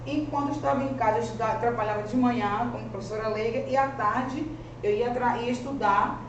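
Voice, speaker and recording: A woman's voice: a little high, fairly even intonation, fairly quick, lo-fi sound. A woman in her forties with a strong rural Bahian accent, a teacher presenting her research in an earnest, conversational way. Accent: rural Bahian Brazilian Portuguese. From rural Bahia, Brazil. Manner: earnest, conversational, with frequent restarts. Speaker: teacher and master's student. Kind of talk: research seminar presentation, spoken freely. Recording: echoey, distant, noisy room audio.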